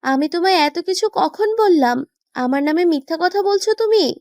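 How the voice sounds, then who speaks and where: A woman acts out a playful young wife with a high-pitched voice, recorded dry and close. She pouts, banters and mock-scolds, quick to protest, in the style of a romantic audio drama. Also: lively intonation, darkish tone, no echo. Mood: teasing, challenging. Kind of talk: romantic audio story acting.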